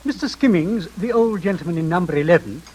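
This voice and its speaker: An actor playing a polite, gossipy English manservant, proper and servile, in 1940s radio drama style. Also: male voice, high voice, lively intonation, fast talker, dark tone. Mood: deferential. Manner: servile, proper, slightly hesitant. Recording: crackly old radio recording.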